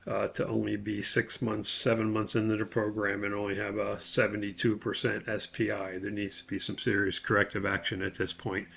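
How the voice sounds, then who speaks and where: Man, lowish pitch, creaky, unhurried, methodical tutorial instructor. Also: little pitch movement, a bit bright, slight background noise. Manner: methodical, step-by-step explaining. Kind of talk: spoken screen-share tutorial.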